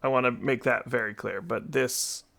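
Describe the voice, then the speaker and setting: A man's voice: mid-range voice, steady tone, normal speed, gravelly, noisy background. A man giving casual reaction commentary as a video host, hedging his opinions as he goes. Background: music.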